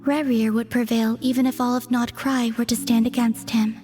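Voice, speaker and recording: A woman voicing a calm, detached and mysterious young woman who speaks softly and formally. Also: fairly high pitch, normal speed, soft background music, lightly acted. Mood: dryly amused. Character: serene, mysterious young woman, a former Fatui agent. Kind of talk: game cutscene voice acting.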